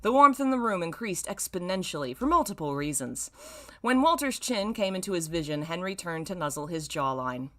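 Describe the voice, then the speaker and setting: A woman in her thirties with a low-pitched voice reads fiction aloud on a stream. She leans theatrically on the descriptive words, then drops into wry, self-mocking commentary. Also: animated, brisk. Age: 30s. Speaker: streamer reading own old fan fiction. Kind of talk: live story reading with wry asides.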